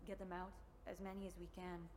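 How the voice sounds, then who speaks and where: An actress playing a resolute resistance officer, earnest and direct, in a sci-fi game cutscene. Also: female voice, lowish voice, flat delivery, fairly quick, darkish tone, slight background noise, natural voice. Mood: grave. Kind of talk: cinematic game acting.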